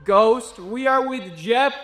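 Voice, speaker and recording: A man's voice: very high voice, slow pace, a bit bright, faint music behind. A man hosting a show, introducing things and asking questions in casual interview chat. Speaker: show host.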